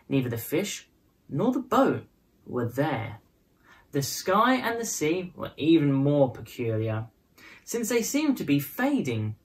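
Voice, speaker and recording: A woman narrates a children's storybook in a fairly low voice. Her intonation is very lively, rising and falling widely as she shapes prose and verse expressively for young listeners. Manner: expressive, dramatized phrasing for children. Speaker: storyteller reading a children's picture book.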